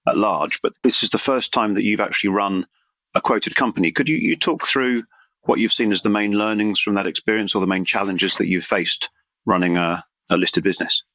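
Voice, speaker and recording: A man asking interview questions quickly, often repeating words as he starts. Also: fairly low pitch, sharp tone, dry recording. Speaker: interviewer, co-host.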